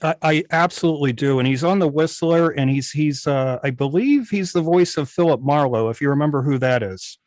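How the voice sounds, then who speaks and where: A man's voice: fairly high pitch, fairly quick, few pauses, a little mellow, no echo, studio quality. A man giving a casual, methodical technical explanation as a guest on a video meeting. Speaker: guest, old-time radio station operator. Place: remote video call. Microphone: video call microphone.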